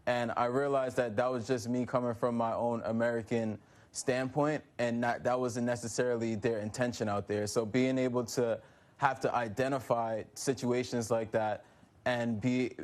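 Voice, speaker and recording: A young man from New York answering interview questions in a relaxed, casual way, with frequent restarts. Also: mid-range voice, moderate pace. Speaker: guest.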